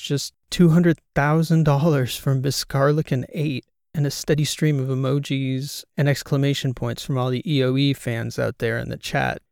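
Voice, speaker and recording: A man voicing an excitable, incredulous male podcast co-host in an audiobook, animated and rambling. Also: mid-range voice, dry recording, studio quality, lightly acted. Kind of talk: audiobook character dialogue.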